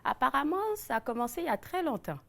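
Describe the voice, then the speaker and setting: A woman's voice: high-pitched, animated, gravelly. A woman hosting a community talk show, introducing guests and interviewing in a friendly, conversational way. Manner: friendly, conversational, quick to affirm. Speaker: TV show host.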